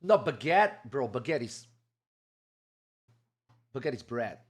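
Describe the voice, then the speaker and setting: A man's voice: mid-range voice, very animated, takes it slowly, breathy edge. A man from Singapore with a Singaporean English accent, chatting casually and jokingly with his stream viewers and swearing freely. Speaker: live streamer.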